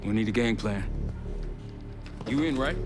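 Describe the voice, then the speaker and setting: Man playing a low-voiced commanding ops leader. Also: measured pace, music in the background, natural voice. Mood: brisk, directing. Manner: terse, informal. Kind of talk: video game cutscene acting.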